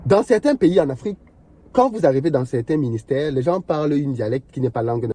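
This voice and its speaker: A man's voice: on the high side, lively intonation, brisk, warm tone. A man in a panel discussion who argues earnestly and insistently, often with rhetorical questions. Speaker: discussion participant.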